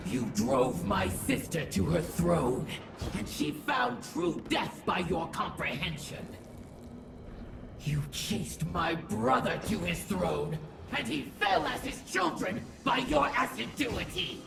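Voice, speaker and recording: Video game villain: imperious alien goddess of war, very gravelly, menacing, ceremonial, echoing and distant. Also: female voice, medium pitch, big pitch swings, music in the background, heavily acted. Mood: vengeful, solemn. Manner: grandiose, menacing, slow ceremonial declamation.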